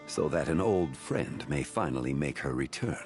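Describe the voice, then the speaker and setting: Deep-voiced, solemn ancient god. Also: male voice, lively intonation, gravelly, full character voice. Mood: solemn, resolute. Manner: measured, solemn, formal. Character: grave, composed ancient male god. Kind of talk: fantasy game cutscene acting. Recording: music behind the voice.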